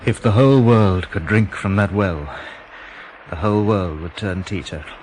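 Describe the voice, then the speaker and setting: A man's voice: low voice, moderate pace, darkish tone, a little hiss or hum, natural voice, rough recording. An actor playing a besieged, desperate, educated man in a radio drama, brooding and parched. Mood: weary, reflective.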